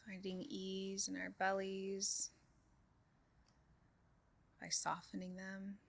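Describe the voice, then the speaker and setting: A woman leading a guided meditation in a calm, soothing way, giving soft instructions with unhurried pauses. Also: mid-range voice, gravelly. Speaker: meditation group leader.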